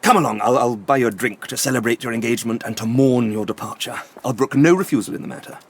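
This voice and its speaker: Male actor as scheming doctor for audio drama: formal, precise, courteously menacing, medium pitch. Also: fast, slightly rough, close to an everyday voice. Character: educated male doctor, polite, cunning, power-hungry villain.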